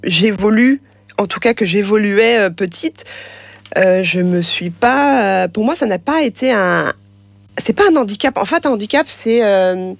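A woman's voice: medium pitch, lively intonation, unhurried, creaky, no room sound. Woman guest, grew up signing, lots of euh and restarts, dry close sound. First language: French Sign Language. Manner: hesitant, many fillers and restarts. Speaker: interview guest. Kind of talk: casual conversational interview.

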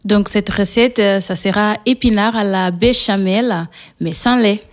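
A woman with a Portuguese accent, a native Portuguese speaker, talking as a guest cook in a radio interview and pausing often with fillers. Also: mid-range voice. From São Tomé and Príncipe, raised in Portugal. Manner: hesitant, frequent pauses with fillers.

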